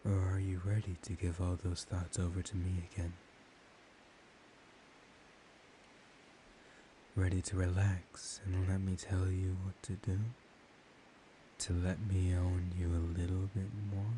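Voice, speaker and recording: A man playing a dominant, seductive vampire in a soft-spoken ASMR roleplay, slow and teasing, often slipping into whispers. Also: low-pitched, lightly acted, rough recording. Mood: teasing, commanding. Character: dominant, seductive male vampire hypnotizing his captive lover. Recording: steady background hiss.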